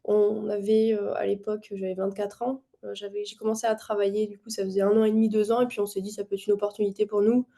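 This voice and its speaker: Creaky, mellow French woman, thirty. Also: mid-range voice, level tone, fast, hardly any pauses. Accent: French from France. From France. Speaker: podcast guest, engineer and investing content creator. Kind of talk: casual interview chat.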